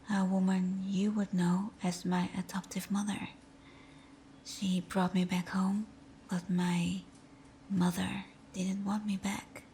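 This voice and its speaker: A woman's voice: mid-range voice, slight background noise. Woman acting a timid, whispering villainess. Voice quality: soft, breathy whisper. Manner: hesitant, halting, intimate. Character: timid, tender female fox supervillain confessing to best friend. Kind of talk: whispered ASMR roleplay.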